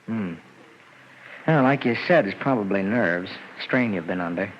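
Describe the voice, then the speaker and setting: An actor playing a weary but kindly police detective, talking casually in an old radio drama. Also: male voice, lowish voice, animated, a little slow. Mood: thoughtful. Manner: casual, conversational. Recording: dull old radio with faint noise.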